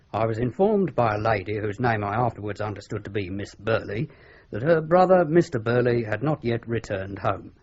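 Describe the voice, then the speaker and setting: A man's voice: medium pitch, a little mellow, close to an everyday voice. A man playing a stiff, pedantic British policeman formally reciting his report in a radio drama. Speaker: police officer. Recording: slightly dull radio drama recording.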